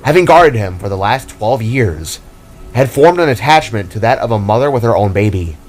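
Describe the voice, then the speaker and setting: Casting: male storyteller, lowish pitch, scripted fantasy narration, music bed. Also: animated, normal speed, slight background noise, rough recording. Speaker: narrator and writer.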